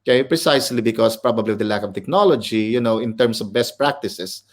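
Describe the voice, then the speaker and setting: A man's voice: fairly low pitch, quick pace. A middle-aged man with a Filipino accent, an educator explaining ideas in an interview, switching between English and Filipino. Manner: lecturing, mixes English and Filipino. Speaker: educator guest.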